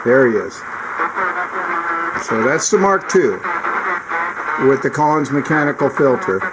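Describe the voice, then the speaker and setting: A man's voice: mid-range voice, sing-song, a little slow. A man narrating a hands-on technical demonstration of radio equipment, as a hobbyist would. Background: CB radio receiver noise.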